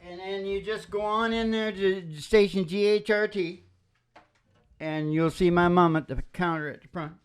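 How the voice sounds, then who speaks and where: A man's voice: sits high, normal speed, clean tone. A man hosting a live radio show with rambling, folksy, playful chat, often repeating his phrases. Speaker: radio show host and singer. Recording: guitar music behind the voice. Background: acoustic guitar.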